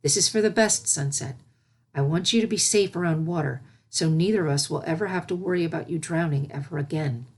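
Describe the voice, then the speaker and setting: A woman voicing a calm, regal alicorn princess and patient teacher, gentle and composed, in a storybook reading. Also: low voice, a little fast, husky. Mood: gentle, reassuring. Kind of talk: storybook character voice in fan fiction reading.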